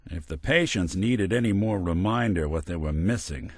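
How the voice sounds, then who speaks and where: Weary noir robot detective for a video game, american, deep voice, dry humor, really moves around in pitch. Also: male voice, lively intonation, normal speed, breathy edge, dry recording. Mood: dry, sardonic. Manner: wry, dry, old-fashioned hard-boiled slang. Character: world-weary middle-aged male android detective, wry and decent. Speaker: companion character in a video game.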